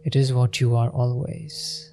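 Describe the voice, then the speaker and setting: A man leading a guided meditation in a gentle, unhurried manner with long pauses. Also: mid-range voice, level tone, a little hiss or hum.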